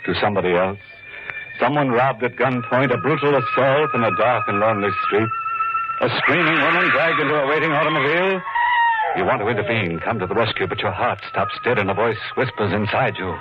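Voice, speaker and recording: An actor playing a ghoulish, slyly joking horror show host in 1940s radio style, with a macabre, drawn-out, tongue-in-cheek delivery. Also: male voice, medium pitch, wildly swooping pitch. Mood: ominous. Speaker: host of a mystery anthology. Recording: muffled old radio broadcast, loud music.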